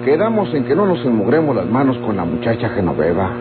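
Man playing a scheming Mexican ranch boss, lively pitch. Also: fast talker, lightly acted. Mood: sly, calculating. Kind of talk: vintage Mexican radio soap opera acting. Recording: tinny, noisy vintage radio recording.

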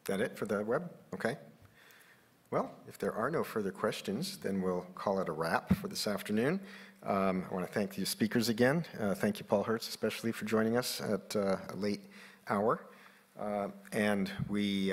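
A man's voice: lowish voice, moderate pace, husky. A man moderating a press conference from a podium, in a plain, conversational way with many fillers, picked up on a podium microphone in a conference room.

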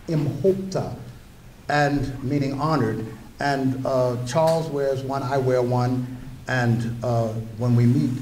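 A man's voice: medium pitch, mellow, a little hiss or hum. An older man giving a storytelling lecture at a podium microphone, sharing personal anecdotes with asides to the audience. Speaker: guest lecturer. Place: lecture room at a wooden podium. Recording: roomy lecture room.

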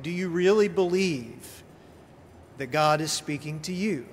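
A middle-aged man preaching a sermon as a pastor, in a conversational storytelling style with emphatic pauses and rhetorical questions. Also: high-pitched, fairly bright tone. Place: church sanctuary at a pulpit. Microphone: pulpit gooseneck microphone. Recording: reverberant church hall, clear background noise.

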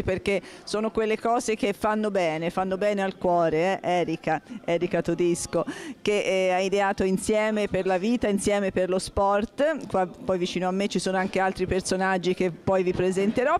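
A woman's voice: medium pitch, darkish tone. A woman presenting and interviewing at a charity sports event, speaking in a bubbly way into a handheld mic in a large gym hall. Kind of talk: lively event reporting. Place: large gym hall full of people, indoors.

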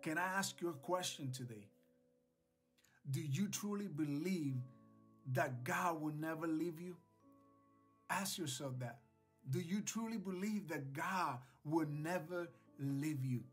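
Slow-paced man preaching over guitar music. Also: on the high side, breathy edge. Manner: earnest, exhorting, repeats phrases for emphasis. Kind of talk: devotional sermon to camera, scripture reading. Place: small indoor home studio.

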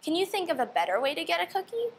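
A woman's voice: very high-pitched, big pitch swings, very fast, slight background noise, pitched above the performer's own voice, heavily acted. A young woman voicing a firm but kind alligator mother in a storybook, with emphatic, instructive delivery. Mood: patient instructing.

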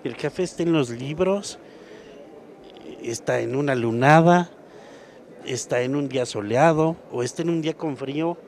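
A man's voice: on the high side, moderate pace, long pauses, a little mellow, slight background noise. A man in his forties with a Mexican accent, speaking in poetic lists in an interview. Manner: poetic, listing. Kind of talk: spoken interview. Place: indoor expo booth. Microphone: handheld interview microphone.

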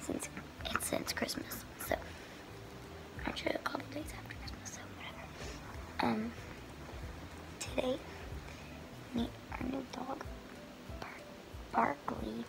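A young teenage girl chatting casually in a home vlog. Her voice is hoarse and she rambles in a soft, breathy way. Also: high-pitched, steady tone, slow pace, pauses often, whispered, rough recording. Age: young teen girl. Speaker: vlogger. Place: bedroom at home. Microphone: phone camera held close.

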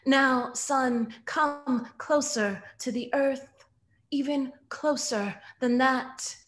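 A woman in her thirties reading poetry with deliberate, measured phrasing. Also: sits high, fairly bright tone. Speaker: poet reading at an online event. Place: room at home.

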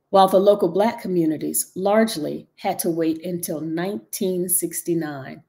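A middle-aged woman from North Carolina giving a measured, earnest local history talk over a video call from her dining room. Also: fairly low pitch, vocal fry, dark tone. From Chapel Hill, North Carolina. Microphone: video call webcam.

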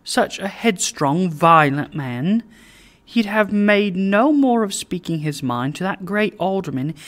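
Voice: mid-range voice, animated, unhurried, a bit bright, voice pushed up. A narrator voicing an affectionate, teasing young woman, a devoted daughter and bride, speaking playfully and earnestly in an audiobook.

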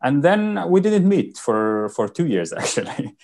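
A man's voice: on the high side, big pitch swings, unhurried, a little mellow. A young man who was born in Norway and raised in Sudan, a documentary filmmaker answering interview questions over a video call, searching for words with many fillers. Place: home room with shelves. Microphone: headset on video call.

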